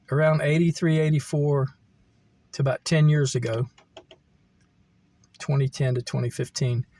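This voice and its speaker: A middle-aged American man reminiscing casually, in a halting, meandering way as he thinks aloud. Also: mid-range voice, a little mellow. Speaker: vlogger telling personal stories. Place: camper van.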